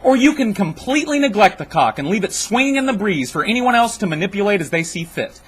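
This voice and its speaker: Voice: medium pitch, big pitch swings, fast, slight background noise. A speaker performing comedy sketch dialogue in a theatrical, mock-solemn manner.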